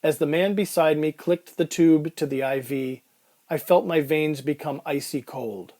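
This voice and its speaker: A man playing an uneasy, strapped-down patient, telling his surreal ordeal as brooding, deliberate first-person narration in an audio drama. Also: a little high, warm tone, lightly acted.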